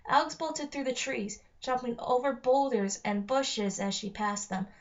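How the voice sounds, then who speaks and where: High-voiced woman reading a story aloud. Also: measured pace. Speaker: narrator reading a novel aloud. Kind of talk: read-aloud children's story narration. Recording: dull lo-fi home recording.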